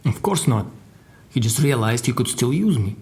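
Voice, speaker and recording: An actor playing a crime boss's guarded secretary in an audio drama, telling his story in a dry, deliberate way. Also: medium pitch, expressive, a little mellow, close to an everyday voice. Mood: grave, measured. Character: formidable Russian crime boss's secretary, guarded male survivor. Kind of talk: audio drama dialogue and narration.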